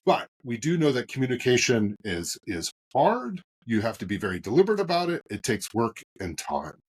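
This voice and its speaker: Husky-voiced man hosting a podcast. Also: mid-range voice, moderate pace. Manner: rambling, many fillers and restarts, animated emphasis. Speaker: podcast host and moderator.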